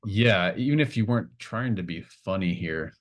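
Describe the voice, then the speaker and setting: Low-voiced man running a tabletop game. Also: animated, normal speed. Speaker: game master. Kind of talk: improvised tabletop game narration and casual chat.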